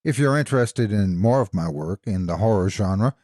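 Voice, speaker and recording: A man narrating scripted stories as a horror narrator, deliberate and dramatic in his delivery. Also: on the low side, expressive, darkish tone. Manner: deliberate, suspenseful storytelling.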